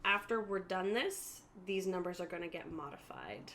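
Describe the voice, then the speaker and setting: A woman's voice: fairly low pitch, lively intonation, moderate pace. A woman acting as game master, explaining tabletop game rules to players on a stream in a casual, chatty way. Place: room at a group gaming table. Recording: noticeable room noise behind the voice.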